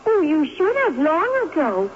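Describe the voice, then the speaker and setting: Radio drama, spoiled, coaxing wife, high-pitched and theatrical, muffled old recording with noise. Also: female voice, wildly swooping pitch, unhurried, heavily acted. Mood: dismissive, teasing. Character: spoiled, clinging, dismissive wife of a restless husband.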